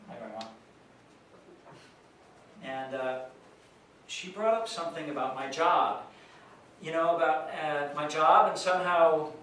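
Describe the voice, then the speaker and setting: Anxious, earnest grandson male actor, slightly high voice, halting confessional stage monologue, recorded in a very reverberant hall. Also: a bit bright. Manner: halting, repeats himself. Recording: very echoey hall, distant mic, noisy.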